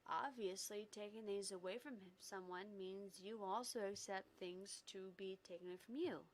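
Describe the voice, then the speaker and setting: A woman's voice: medium pitch, normal speed, a little hiss or hum, lightly acted, rough recording. A woman voicing a wounded, devoted young woman making a sorrowful confession in a visual novel read-aloud. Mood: wistful confession.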